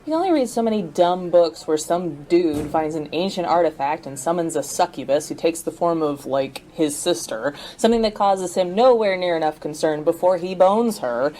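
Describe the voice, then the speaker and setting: Low-voiced, exasperated sarcastic young woman, acted. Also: lively intonation, moderate pace, hardly any pauses, mellow, a little hiss or hum, lightly acted. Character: exasperated, skeptical young woman who teaches at college. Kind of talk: audio drama dialogue, casual banter.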